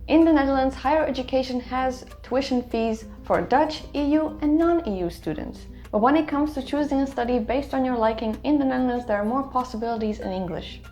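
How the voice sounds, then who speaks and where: A woman with a fairly high voice narrates a scripted explainer. Her delivery is even and informative, and faint music plays behind her. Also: a little fast, few pauses. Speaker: video narrator.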